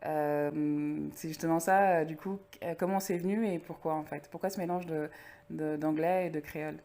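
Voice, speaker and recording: A woman with a fairly low voice hosts an interview. She talks in a casual, conversational way, building her phrases haltingly and dropping in supportive interjections. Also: fairly even intonation, warm tone. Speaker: interviewer, podcast host.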